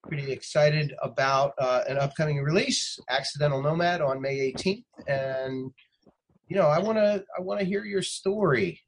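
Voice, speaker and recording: A man hosting a casual interview, feeling his way into questions haltingly and repeating words. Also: fairly high pitch, poor audio. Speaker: interviewer, host. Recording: faint background noise.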